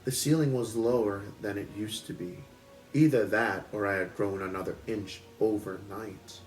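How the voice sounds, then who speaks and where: Low-voiced man reading a story aloud. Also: normal speed, faint music behind, poor audio. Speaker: book club host reading aloud. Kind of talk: expressive storybook narration. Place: at home.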